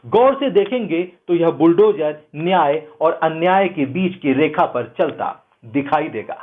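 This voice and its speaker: A man hosting a news show, delivering scripted commentary in an emphatic, rhetorical way. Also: a little high. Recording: narrow phone-like band, thin sound.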